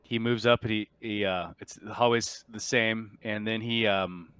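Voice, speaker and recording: A man narrating a tabletop roleplaying game as game master in a halting, casual way. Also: lowish voice, steady tone, fairly bright tone, clean tone, no room sound. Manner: halting, casual, pieces descriptions together aloud.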